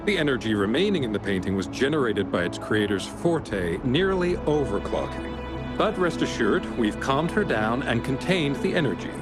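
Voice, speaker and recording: A man's voice: mid-range voice, very animated, moderate pace, loud music behind the voice, lightly acted. A man playing a composed, formal investigator in a video game, giving a reassuring official report. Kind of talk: video game character dialogue.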